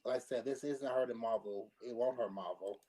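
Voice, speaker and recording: Mid-pitched man explaining his opinion. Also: fairly quick, dark tone, poor audio. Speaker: panelist on a pop culture discussion show. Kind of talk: casual opinion discussion.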